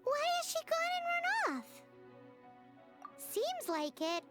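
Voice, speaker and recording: Tiny childlike game fairy: bossy, excitable, extremely high, animated delivery. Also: female voice, slow, exaggerated voice. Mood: startled, chatty. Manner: squeaky, excitable, speaks in third person. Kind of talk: anime-style video game acting. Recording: music under the voice.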